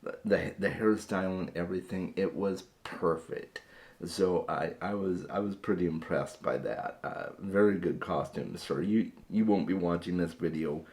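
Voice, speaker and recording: A man with a very low voice talks casually to his viewers about books. His voice is hoarse and worn. He often stumbles and repeats words as he begins a thought. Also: moderate pace, a little mellow. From Phoenix area, USA. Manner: chatty, repeats words when starting sentences. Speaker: book vlogger. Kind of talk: casual chat to camera.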